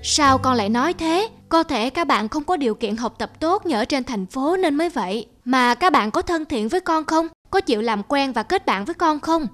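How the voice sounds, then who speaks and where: Casting: woman as patient young cartoon mother, high soothing voice, gently instructive, music underneath. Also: lively intonation, moderate pace, few pauses. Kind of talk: cartoon voice acting.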